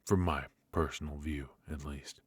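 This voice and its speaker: A man playing a suave, menacing mafia boss in a noir ASMR roleplay, measured and mock-courteous, with a husky voice. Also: extremely low pitch, fairly even intonation, noisy background. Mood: cold, pointed. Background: faint music.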